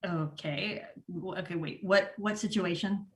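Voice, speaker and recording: A woman's voice: on the low side, unhurried, close to an everyday voice. A woman acting a disorganized store manager in her forties at a comedy table read, casual, scattered and defensive. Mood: puzzled, defensive. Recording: roomy, echoey home recording.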